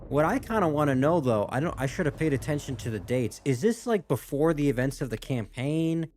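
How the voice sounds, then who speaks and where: A man's voice: on the high side, expressive, brisk, darkish tone, slight background noise. A man hosting a gaming reaction video, talking casually and thinking aloud in a tentative way.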